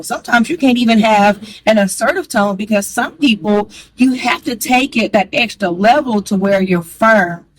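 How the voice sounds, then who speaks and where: A woman talking fast and candidly to the camera, chatty and frank, with a strong voice. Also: medium pitch, no room sound, rough recording. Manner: chatty, candid, calls listener girl; fast talker. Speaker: vlogger. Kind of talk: casual talk to camera.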